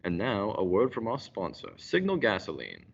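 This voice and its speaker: Man as a low-voiced, portentous radio mystery announcer. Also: huge pitch swings, normal speed, gravelly, breathy edge, exaggerated voice. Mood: ominous. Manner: theatrical, portentous. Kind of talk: old-time radio announcing. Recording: muffled recording with faint noise.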